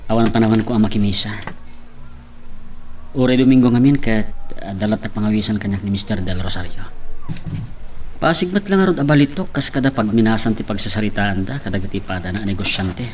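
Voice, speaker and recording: A man's voice: lowish voice, brisk, pauses often, close to an everyday voice. A man playing an earnest, affectionate working man in a radio love drama. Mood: confiding. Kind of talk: Ilocano radio drama acting. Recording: light noise and room ambience.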